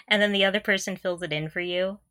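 A woman's voice: medium pitch, fairly even intonation, a little fast, pushed and bright, no echo, studio quality. A woman co-hosting a podcast in a casual fan chat, often laughing through her sentences. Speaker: podcast co-host.

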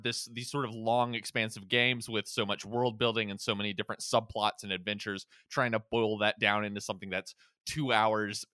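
A man hosts a casual discussion podcast in a conversational way. His voice is bright and crisp at a middle pitch, recorded dry with no room sound. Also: no echo. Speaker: podcast host.

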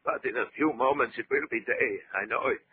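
An actor playing a domineering, panicky fanatic soldier in 1940s radio horror, urgent, insistent and repetitive. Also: male voice, mid-range voice, brisk, slightly rough. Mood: insistent, tense. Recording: noisy, tinny 1940s radio recording.

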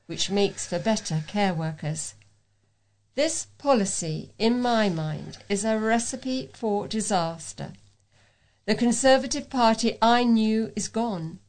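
A woman's voice: medium pitch, darkish tone. A woman reading readers' letters aloud as a volunteer on a local talking newspaper.

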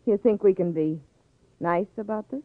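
An actress playing a nervous, defensive young woman caught lying in a 1950s radio crime drama, speaking in a halting, anxious way. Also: mid-range voice, no echo, close to an everyday voice. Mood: defensive, shaken. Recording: tinny, dry old radio broadcast.

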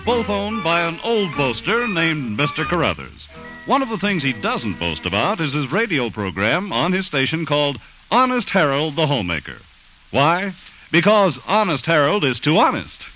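A 1950s radio announcer with a fairly high voice that leaps widely in pitch. He reads scripted comedy introductions briskly over music on an old radio recording. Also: low-quality recording.

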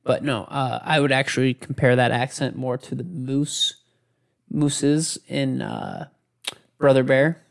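A young man talks as a podcast co-host. His voice has plenty of vocal fry and sits at an ordinary male pitch. The delivery is casual and conversational. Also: little pitch movement, unhurried. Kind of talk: casual chat.

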